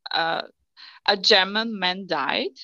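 A woman from Brazil, a podcast guest chatting casually in halting phrases that restart often. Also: mid-range voice, bright, forceful tone, highs cut off.

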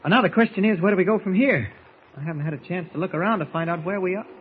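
Heroic man, played by a male actor, 1940s radio drama, dramatic, protective, slightly high voice, noisy vintage recording. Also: racing, narrow, tinny audio, close to an everyday voice. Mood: light, reassuring. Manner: dramatic, shifts between deep hero voice and lighter everyday voice. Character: heroic adult man in two guises, caring, protective. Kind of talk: 1940s radio serial acting.

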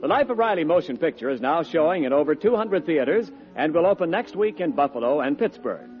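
A man's voice: a little high, animated, fairly quick, faint music behind. An American man announcing scripted advertisements and show credits in the style of 1940s network radio. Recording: dull lo-fi 1940s radio recording.

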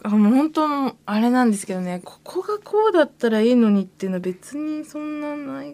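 A woman's voice: high voice, very animated, fairly quick, warm tone, a little hiss or hum. A woman guest on a radio show, chatting casually but politely, a little bashful and hesitant. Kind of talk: casual chat in polite speech.

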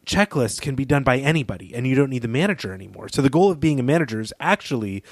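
A man's voice: medium pitch, animated, fast, dry recording, studio quality. A man co-hosting a podcast, talking through a literary analysis in an earnest, emphatic, conversational way. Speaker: podcast co-host.

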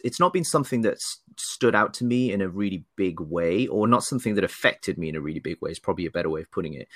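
A man's voice: lowish voice, dry recording, studio quality. A young man from northeast England with a blended, faintly Geordie British accent, chatting hesitantly as a podcast guest with many restarts. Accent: mixed British, faint Geordie. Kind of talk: casual interview chat.

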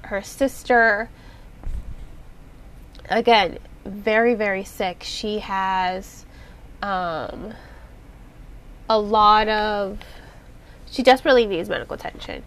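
A woman's voice: medium pitch, slow pace, long pauses, fairly bright tone. A congested woman with a nasal voice, hosting a podcast and talking through a book review in a chatty, rambling way with lots of fillers. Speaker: podcast host reviewing books. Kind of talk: casual spoken book review.

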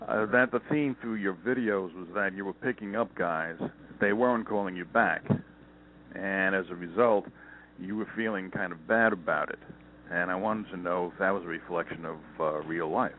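An older man with a deep voice calling in to a podcast, asking a question in a measured, intense-sounding way. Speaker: caller. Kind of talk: phone-in question. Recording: tinny phone line with faint hiss.

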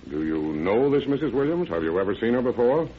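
An actor playing a confident, methodical sheriff in an old radio drama, firm and brisk. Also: male voice, medium pitch, very animated, lightly acted. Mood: businesslike. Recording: dull, noisy old radio recording.

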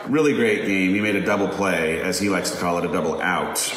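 A man's voice: low voice, brisk, fairly bright tone. A man co-hosting a casual morning show, playful and teasing in his banter. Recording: echoey room with long reverb.

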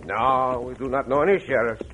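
An actor playing an easygoing, suave cowboy hero in an old-time radio western. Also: male voice, medium pitch, fairly quick, fairly bright tone, natural voice. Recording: dull, faintly noisy old radio.

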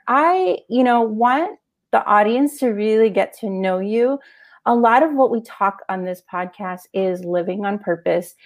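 A woman hosts an interview podcast, her voice carrying a gravelly, creaky edge. She introduces her guest and asks long, winding questions. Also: mid-range voice, a little mellow. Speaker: podcast host, life coach.

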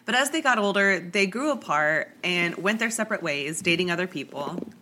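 A woman's voice: on the low side, lively intonation, moderate pace, bright, forceful tone. A young woman with a cold, hosting a true crime podcast in a chatty style with many fillers. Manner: chatty, lots of likes and ums. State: congested with a cold. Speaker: podcast host.

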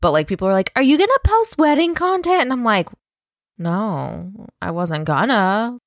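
Tired woman podcaster, swears casually, laughs a lot, lively low voice, dry sound. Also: moderate pace, no echo. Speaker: podcast co-host. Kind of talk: casual chat.

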